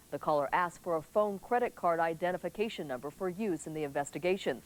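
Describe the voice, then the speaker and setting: A woman's voice: deep voice, poor audio. A woman reading local television news as an anchor, in scripted newscast delivery.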